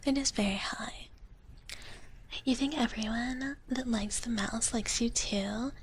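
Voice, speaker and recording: A woman voicing a gentle, shy dragon big-sister streamer, whispering breathily and haltingly in a soft ASMR chat. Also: on the high side, a little hiss or hum. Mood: soft, hesitant. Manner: hesitant, halting, soft-spoken, refers to herself in third person. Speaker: ASMR livestream host.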